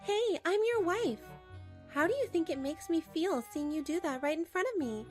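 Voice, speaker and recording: Wronged wife, firm and pragmatic, played by a woman with a high voice, expressive manga-dub narration over faint music. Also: normal speed. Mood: shocked, indignant. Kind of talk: dramatic first-person narration for a manga dub.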